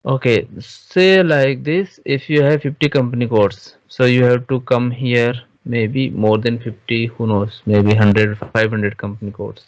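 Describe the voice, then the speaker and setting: Male software trainer, dull lo-fi sound. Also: medium pitch, fairly even intonation, no echo. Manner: halting, frequently checks understanding with questions. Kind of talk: informal screen-share tutorial, explaining step by step. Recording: dull, lo-fi online class audio.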